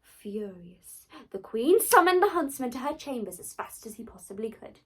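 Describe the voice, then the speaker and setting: A woman telling a fairy tale dramatically, with theatrical delivery and deliberate pauses. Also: fairly high pitch, very animated, slightly rough. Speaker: storyteller.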